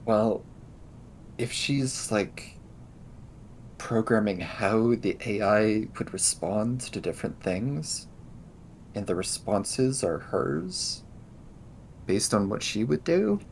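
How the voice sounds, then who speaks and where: A man with a lowish voice plays a tabletop roleplaying game. He talks things through slowly and hesitantly, feeling his way through each explanation. There is audible noise under his voice. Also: animated, vocal fry, darkish tone. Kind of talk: improvised in-character tabletop game conversation.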